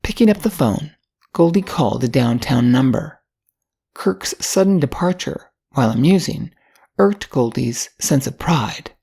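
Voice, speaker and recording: Older woman, story narrator, deep voice, raspy, reading from a script. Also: expressive, unhurried, mellow.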